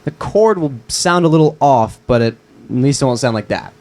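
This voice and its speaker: Man playing a young male close friend, mid-pitched. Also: expressive, normal speed, a little mellow, natural voice. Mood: calm teasing. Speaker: actor at a screenplay table read. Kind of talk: live script reading.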